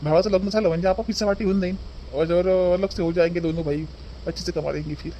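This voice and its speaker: Man playing a high-voiced, questioning visiting relative. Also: fairly even intonation, quick pace, mellow, slight background noise. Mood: reassuring, advising. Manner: questioning, code-switches between Hindi and Marathi. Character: visiting educated brother-in-law, polite, advising, mildly probing. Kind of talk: cartoon family dialogue.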